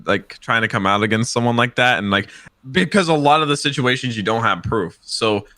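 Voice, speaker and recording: A man chats on a podcast in a bright, forward voice of medium pitch. His delivery is casual but halting, circling back as he carefully qualifies his points. The sound is close and dry. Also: no echo. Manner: halting, hedged explanations with repeated starts.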